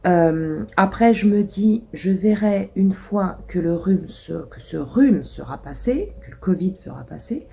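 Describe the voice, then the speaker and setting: A woman's voice: medium pitch, expressive, measured pace, gravelly, few pauses, warm tone. Stuffy-nosed woman with a cold. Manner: rambling, many hesitations. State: congested, stuffy nose; coughing. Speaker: vlogger. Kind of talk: casual vlog chat.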